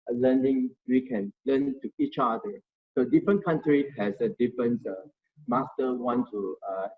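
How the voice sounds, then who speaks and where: A man giving an informal Buddhist study talk, speaking haltingly and often restarting as he searches for words. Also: medium pitch, dull audio. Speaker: Dharma sharing volunteer presenter.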